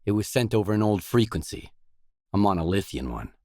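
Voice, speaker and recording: Low-voiced, terse male mercenary played by an actor. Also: animated, fairly quick, warm tone, no echo, clean studio sound, close to an everyday voice. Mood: grim. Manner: terse, matter-of-fact. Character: gruff, guarded male mercenary stalker, weary and blunt. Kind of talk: video game dialogue lines.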